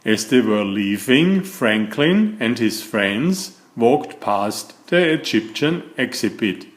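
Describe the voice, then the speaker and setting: A man narrates a picture book for young children in a roomy, echoing space. His delivery is slow and deliberate, with a lively, expressive rise and fall in his pitch.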